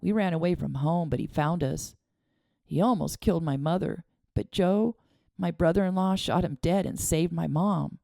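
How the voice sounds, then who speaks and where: A woman's voice: lowish voice, moderate pace, creaky, dry recording, studio quality. A woman doing an earnest young boy. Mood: reassuring. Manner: earnest, gently reassuring. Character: kind earnest young boy, comforting friend.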